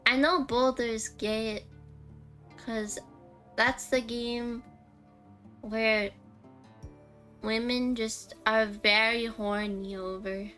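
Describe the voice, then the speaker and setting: Unhurried, high-voiced woman game streamer. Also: fairly even intonation, a bit bright. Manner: playful, chatty. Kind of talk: casual live game commentary. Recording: faint music behind the voice.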